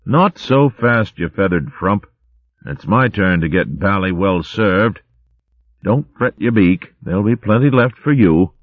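A man's voice: fairly low pitch, expressive, measured pace, no echo, phone-quality sound, voice pushed up. A man voicing a pompous greedy male hare. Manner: blustering upper-class slang.

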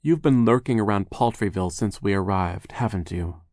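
A man's voice: fairly low pitch, normal speed, a little mellow, no room sound, close to an everyday voice. A man reads as a defiant young girl in a storybook. The delivery is curt and indignant, insisting on each point, with a very dry, close sound.

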